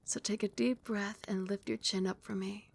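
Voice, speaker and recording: Soft whispery vampire queen all doting and possessive, a woman doing it asmr style right up on the mic. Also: mid-range voice, steady tone, normal speed, vocal fry, slight background noise, lightly acted. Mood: tender. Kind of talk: ASMR roleplay. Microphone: close mic.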